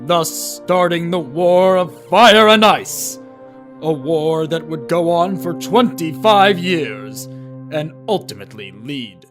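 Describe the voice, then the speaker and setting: A man reading scripted storybook narration as the narrator of a fantasy tale. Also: a little high. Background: music.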